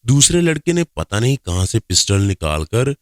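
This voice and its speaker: Low-voiced man narrating a story. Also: sing-song, normal speed, dry recording, clean studio sound. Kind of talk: scripted first-person story narration.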